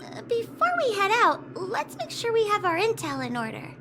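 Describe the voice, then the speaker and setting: A woman's voice: extremely high pitch, big pitch swings, moderate pace, background noise, heavily acted. A woman voicing a small, bookish, cautious girl in a video game, earnestly explaining with some hesitation. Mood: careful, thoughtful. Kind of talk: video game character dialogue. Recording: music behind the voice. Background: game music.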